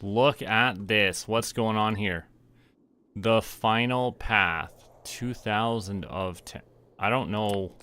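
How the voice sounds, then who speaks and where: Bright-voiced man streaming a game. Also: lowish voice, slow, clear, resonant tone. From Canada. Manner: playful, narrates own actions and reads game text aloud. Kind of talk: casual game stream commentary, thinking aloud.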